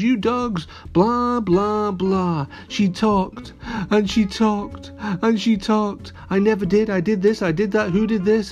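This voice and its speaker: Man putting on a high, chattering female pet dog. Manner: rambling nonstop, repetitive.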